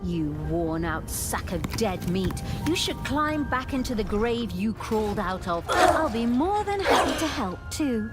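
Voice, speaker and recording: A wicked, rebellious villainess from a fantasy game, as a woman acts her out. She drawls her sarcasm slowly, with big mocking swoops in pitch. Everything she says drips with theatrical gloating. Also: lively intonation, lightly acted. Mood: mocking, cruel. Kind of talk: theatrical video game voice acting. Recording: loud music under the voice.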